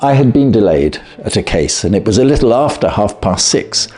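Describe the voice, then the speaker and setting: A man narrating a classic story as an audiobook, with a measured, expressive storytelling delivery. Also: lowish voice, over music.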